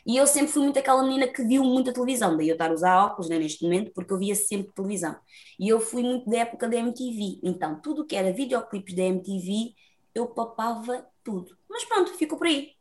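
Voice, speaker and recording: A young woman from Lisbon with a Lisbon Portuguese accent, a lively, talkative dancer telling her story as an interview guest, laughing often. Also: on the high side, quick pace.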